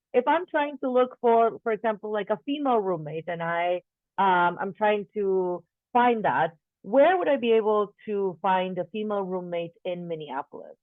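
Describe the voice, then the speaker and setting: A woman's voice: medium pitch, dry recording. A woman hosting an online webinar, giving friendly reminders and often restarting her sentences. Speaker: webinar host. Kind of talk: presenting announcements on a video call. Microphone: video call. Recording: dry, tinny phone-like audio.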